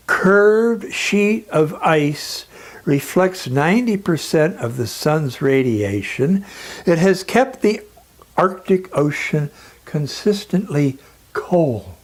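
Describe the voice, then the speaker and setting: A man delivering a scripted, persuasive lecture in a solemn, emphatic manner with dramatic pauses. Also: fairly high pitch.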